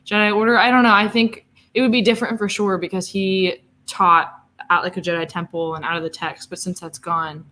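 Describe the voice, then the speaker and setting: A woman's voice: mid-range voice, steady tone, fairly quick, few pauses, sharp tone. A woman chatting casually as co-host on a livestream, hesitant and full of fillers. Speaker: co-host partner.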